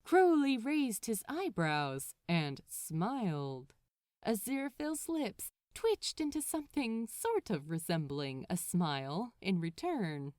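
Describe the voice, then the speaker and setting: A woman narrates fiction in an expressive audiobook style. She takes her time, with lively rises and falls in a mid-pitched voice, and the sound is dry. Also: no echo.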